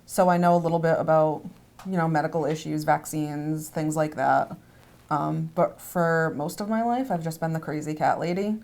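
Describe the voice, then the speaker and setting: A woman's voice: low-pitched, normal speed, vocal fry, a little mellow. A woman being interviewed about her shelter clerk job, easygoing and self-deprecating. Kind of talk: casual interview chat.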